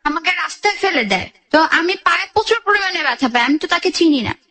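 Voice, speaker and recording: A woman's voice: very high voice, lively intonation, quick pace, bright, forceful tone. A young woman with a Bangladeshi accent, telling her own life story as a guest in a radio interview.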